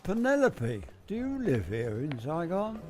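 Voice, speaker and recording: A man acting a smooth male secret agent who poses as a reporter in an amateur radio play, wry and flirtatious. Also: on the high side, huge pitch swings, unhurried, a little mellow, faint music behind. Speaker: lead actor in amateur radio drama.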